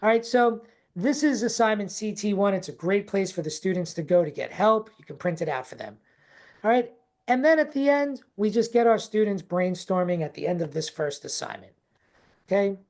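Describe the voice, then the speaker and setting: A woman's voice: mid-range voice, expressive, moderate pace, a little mellow. A woman leading a teacher workshop over a video call, chatty and informal, checking in with "okay?" and "right?" as she talks. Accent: not native to Texas, unnamed regional accent. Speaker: teacher trainer. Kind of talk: live online teacher workshop.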